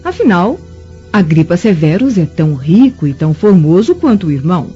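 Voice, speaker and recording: An actress with a Brazilian accent playing a haughty, scheming middle-aged Roman matron in a radio drama, imperious and insinuating. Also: female voice, on the low side, very animated, moderate pace, mellow, slightly rough, exaggerated voice. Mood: sly. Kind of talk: melodramatic radio drama acting. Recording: dull lo-fi radio drama, music behind.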